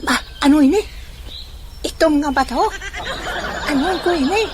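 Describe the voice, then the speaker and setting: A woman playing an excitable, baffled old man in a comic audio drama, exclaiming and questioning. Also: sits high, unhurried, noisy background. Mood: surprised.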